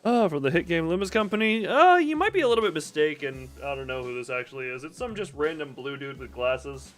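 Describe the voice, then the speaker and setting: A man's voice: fairly high pitch, lively intonation, fairly quick, fairly bright tone, soft background music. A man livestreaming a game, chatting casually and jokingly with his chat in a rambling way.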